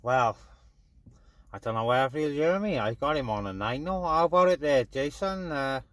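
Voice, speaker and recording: A man's voice: medium pitch, animated, normal speed, no echo. A British man casually chatting as a radio hobbyist, making CB calls and talking to his viewers. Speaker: radio hobbyist vlogger and CB operator. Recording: noisy, clicky radio hiss.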